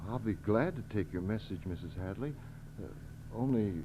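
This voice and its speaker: Guy acting nice gullible dad on old radio drama, low voice, hesitant n polite, lots of hiss. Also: lively intonation, moderate pace, close to an everyday voice. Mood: hesitant, willing. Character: kind, polite, gullible father of a little girl. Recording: muffled, noisy old radio recording.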